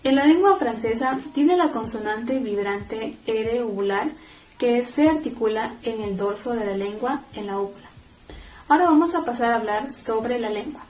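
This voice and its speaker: A woman's voice: a little high, animated, moderate pace, a little mellow. A young adult woman giving an explanatory class presentation as a student, in an echoey recording. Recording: echoey room sound.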